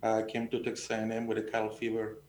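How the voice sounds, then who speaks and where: Mexican-accented man, even-toned, in an echoey room. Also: fast. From Sonora, Mexico. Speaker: panel guest, agricultural extension educator. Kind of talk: conversational personal storytelling. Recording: echoey room, faint noise and rumble.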